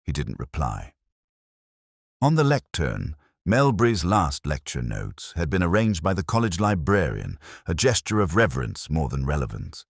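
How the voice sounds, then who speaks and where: An actor playing a weary, melancholy middle-aged detective, narrating a scripted mystery in a measured, literary, sombre way. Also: male voice, rumbling low, sing-song, vocal fry, no echo, clean studio sound, exaggerated voice. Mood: pensive, sombre.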